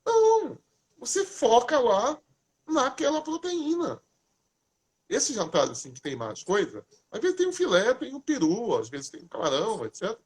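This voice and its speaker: A man hosting a casual live talk, chatty and lively, asking questions and answering them himself. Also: extremely high pitch, normal speed, husky. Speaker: host. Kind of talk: casual live talk giving diet tips.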